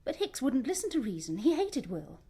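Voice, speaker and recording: A grieving Victorian actress. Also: female voice, sits high, very animated, brisk, warm tone, slightly rough, heavily acted. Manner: intense, dropping to a whisper. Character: grieving Victorian actress, lover of a murdered star. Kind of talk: radio drama acting.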